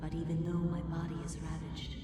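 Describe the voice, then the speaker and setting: A woman voicing a dead, cursed woman in a video game cutscene, speaking slowly and gravely in hushed tones. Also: low-pitched, completely flat delivery, close to an everyday voice. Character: dead cursed woman, mutilated lover, solemn. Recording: echoey, distant, game music behind.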